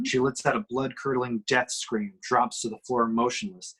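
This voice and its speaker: A man's voice: mid-range voice, fairly even intonation, moderate pace, a bit bright, slightly rough. Male narrator, mid-range voice, reads stage directions for an amateur table read. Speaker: reader of action descriptions. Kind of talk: scripted screenplay narration at a table reading.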